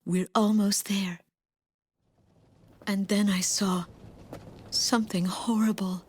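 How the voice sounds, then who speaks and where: An actress playing a pensive, war-weary woman, narrating her journey in a measured, scripted dramatic style. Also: mid-range voice, steady tone, breathy edge. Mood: troubled.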